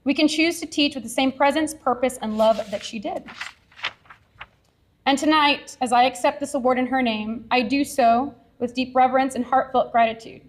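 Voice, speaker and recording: High-voiced woman giving heartfelt speech. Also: poor audio. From Edinburg, Texas. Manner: earnest, heartfelt, measured. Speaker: science teacher accepting an award. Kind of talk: prepared acceptance speech. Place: award ceremony venue.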